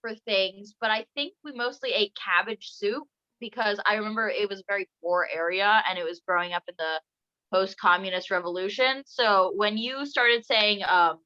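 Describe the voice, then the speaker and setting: A young adult host running a playful, casual home interview with silly sing-song asides. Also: female voice, mid-range voice, normal speed, pushed and bright, highs cut off. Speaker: podcast host.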